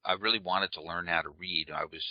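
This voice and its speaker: Deep-voiced male interview host. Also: brisk, no echo, highs cut off. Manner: relaxed, rambling asides, some slips. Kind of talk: casual interview chat.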